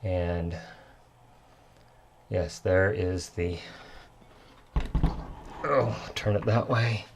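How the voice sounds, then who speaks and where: A man talking casually through a hands-on repair as he works, halting and muttering to himself. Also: low-pitched, background noise. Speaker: electronics repairman presenting his repair.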